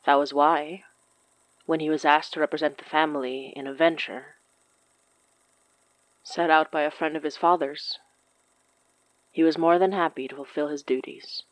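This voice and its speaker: A woman's voice: low-pitched, steady tone, moderate pace, pauses often, no room sound, rough recording. A woman hosting a fiction podcast, reading announcements and scripted story narration.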